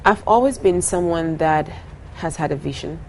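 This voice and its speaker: A woman's voice: low voice, expressive, moderate pace, slight background noise. A young woman from Ghana, a singer being interviewed, talking earnestly, often repeating her words and laughing easily. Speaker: singer guest. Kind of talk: casual interview talk.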